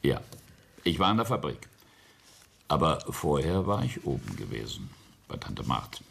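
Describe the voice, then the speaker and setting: An older actor playing a ruthless, boastful industrialist patriarch in a radio play, gravelly and husky, with a deliberate, imperious, cutting delivery. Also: male voice, rumbling low, very animated, fairly bright tone, heavily acted. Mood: cold, matter-of-fact. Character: ruthless, boastful patriarch and self-made industrialist, coldly confessing. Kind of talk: German radio play acting.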